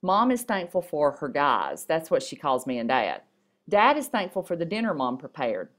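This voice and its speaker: A woman with a fairly low voice reads aloud as if from a storybook. Her delivery is expressive, with a small stumble now and then. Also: normal speed. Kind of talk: reading a story and poem aloud.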